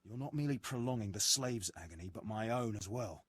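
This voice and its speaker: An actor playing an ambitious, resentful military sergeant in a video game, formal, clipped and self-important. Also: male voice, lowish voice, lively intonation, a little fast, slightly rough, no echo. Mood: bitter, resigned. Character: ambitious, resentful, impatient male military sergeant of an evil empire.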